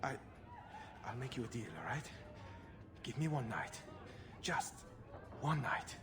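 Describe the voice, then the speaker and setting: A man's voice: medium pitch, lively intonation, unhurried, music in the background, natural voice. An actor playing a naive, hapless runaway mage in his twenties in a video game, nervous and stammering, with boyish bluster. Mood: pleading. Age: 20s. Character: hapless, naive young runaway mage, would-be ladies' man.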